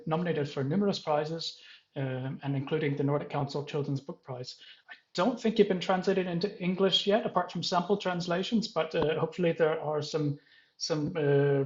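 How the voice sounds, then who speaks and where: A man moderating a panel, introducing the guests in a halting, self-correcting and apologetic way. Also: a little high, a little mellow, reverberant, dull audio.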